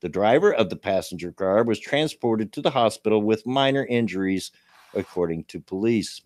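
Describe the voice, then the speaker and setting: A man in his late fifties with a gravelly voice, hosting a casual livestream talk show and reading local news in a folksy, rambling way. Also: fairly low pitch, expressive, normal speed, darkish tone, no echo, studio quality. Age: 50s. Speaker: show host.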